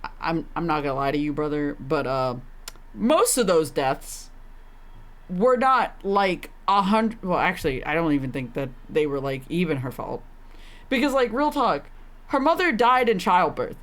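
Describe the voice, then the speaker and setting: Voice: medium pitch, sing-song, moderate pace. A game streamer giving casual live commentary, talking in an incredulous, slangy way with plenty of swearing.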